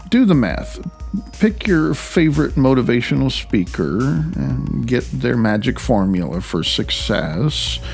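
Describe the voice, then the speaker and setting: A man from the United States reading his own self-help audiobook in a wry, deliberate way with pauses. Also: mid-range voice, vocal fry. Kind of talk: self-help audiobook narration with personal commentary. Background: faint music.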